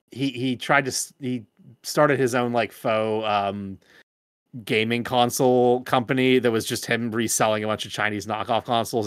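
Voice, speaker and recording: A man in casual podcast chat, explaining things in a halting, searching way. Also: mid-range voice, a bit bright, smooth, no echo, clean studio sound. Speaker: podcast regular.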